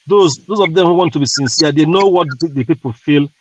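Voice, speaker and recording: A man's voice: fairly high pitch, fairly quick, highs cut off. A man joining a call, easygoing and chatty. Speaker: panelist.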